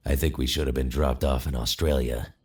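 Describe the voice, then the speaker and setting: A man playing a gruff superhero loner, menacing yet flirtatious, with a rough gravelly voice in an audio drama. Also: very deep voice, fairly even intonation, a little fast, darkish tone. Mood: surly.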